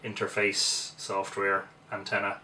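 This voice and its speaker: An Irish man in his forties giving unhurried live commentary as he operates a radio at home, muttering to himself as he works. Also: on the low side, completely flat delivery. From Ireland. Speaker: amateur radio operator demonstrating. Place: small home radio room, indoors. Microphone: camera a couple of metres away. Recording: distant camera mic, loud background hiss.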